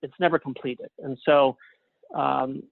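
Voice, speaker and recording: A man's voice: mid-range voice, steady tone, measured pace, gravelly. Male interview guest on a phone-like line. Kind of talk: casual conversational interview.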